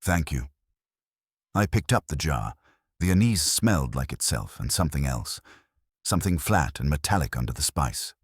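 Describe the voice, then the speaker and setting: Very deep-voiced male story narrator. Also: sing-song, normal speed, husky, no room sound, studio quality. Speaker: audiobook narrator. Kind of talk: scripted detective fiction narration.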